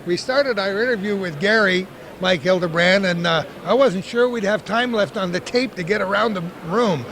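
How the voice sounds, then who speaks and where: A man's voice: sits high, a bit bright. A man hosting a local TV show, chatting jovially with guests at a business expo and laughing often. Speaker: local TV host. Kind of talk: casual on-location interview. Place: busy indoor business expo.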